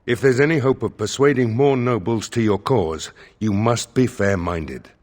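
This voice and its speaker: An actor playing a stern court steward in a video game, speaking in a measured, formal and dryly wry way. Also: male voice, on the low side, darkish tone, lightly acted. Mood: grave, advising.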